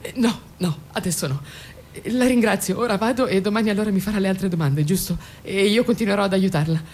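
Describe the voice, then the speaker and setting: A woman's voice: medium pitch, fast, a little mellow, close to an everyday voice. An actress playing an anxious middle-aged mother in a naturalistic radio drama, hesitant and flustered, often going back on her own words. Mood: awkward, polite. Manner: flustered, hesitant, contradicts herself.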